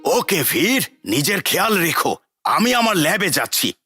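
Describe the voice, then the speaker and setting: A man's voice: high voice, sing-song, moderate pace, bright, forceful tone, exaggerated voice. An actor playing a watchful old cartoon grandfather inventor, concerned and instructing, with music behind him. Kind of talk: animated cartoon dubbing.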